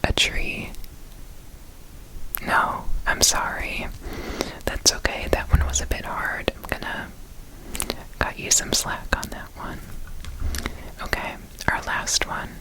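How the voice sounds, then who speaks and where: A woman whispering an ASMR roleplay as an examiner, gentle and reassuring, repeating words softly in a breathy whisper. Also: slow pace, long pauses, poor audio. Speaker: ASMR performer playing an examiner.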